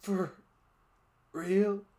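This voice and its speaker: A man voicing a panicky young man who has just been shot, yelping in a high voice. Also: level tone, extremely slow, warm tone. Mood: croaking. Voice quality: shrill, yelping.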